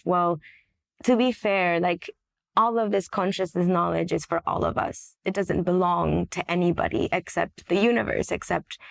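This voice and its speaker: A woman from Venezuela talks reflectively as a podcast guest. Her voice is slightly low with a clear creak, and she sounds earnest and searching as she tells her story. The recording is very dry. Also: dry recording. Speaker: podcast guest, shamanic practitioner. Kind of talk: reflective personal storytelling in conversation.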